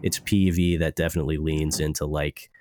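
Very deep-voiced stuffed-up man hosting. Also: level tone, a little fast, mellow, no room sound. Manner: rambling, laid-back, many filler words. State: stuffed up from allergies. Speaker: podcast host. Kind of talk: casual podcast chat.

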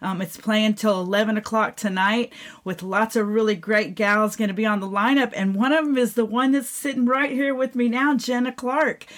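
Casting: middle-aged American woman with a higher-pitched voice, effusive, chatty interviewer for casual radio. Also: steady tone, normal speed. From Florida, United States.

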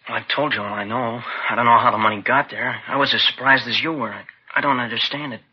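A man's voice: mid-range voice, fairly even intonation, quick pace, slight background noise, close to an everyday voice. An actor playing a frightened, defensive young man under police questioning in a 1950s radio drama, pleading and agitated. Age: young adult. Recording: dull vintage 1950s radio recording.

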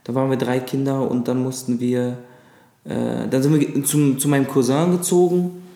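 A man in his thirties from Lebanon, whose first language is Arabic, recalling his childhood as an interview guest with frequent pauses and fillers. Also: mid-range voice, steady tone, gravelly, dark tone. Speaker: guest, actor. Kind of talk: casual interview chat. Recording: echoey room.

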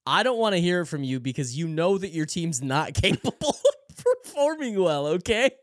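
A man co-hosting a podcast in casual banter, easygoing and relaxed. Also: on the high side, moderate pace, no room sound, studio quality. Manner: laid-back, easygoing. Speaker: podcast co-host.

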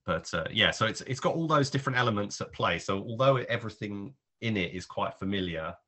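Deep, bright-voiced man, rambling. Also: lively intonation, fairly quick, husky. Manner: rambling, hesitant, thinking aloud with trailing clauses. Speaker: podcast film reviewer. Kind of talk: casual spoken film review.

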